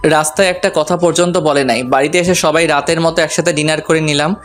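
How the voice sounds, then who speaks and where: A man's voice: fairly high pitch, steady tone, fast talker, soft background music. A man narrating a first-person romantic story in a playful, colloquial way.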